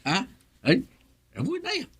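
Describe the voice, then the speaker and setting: An actor playing an elderly, teasing, easily startled village grandparent in an Ilocano radio comedy drama, with a husky voice. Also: medium pitch, wildly swooping pitch, unhurried, exaggerated voice.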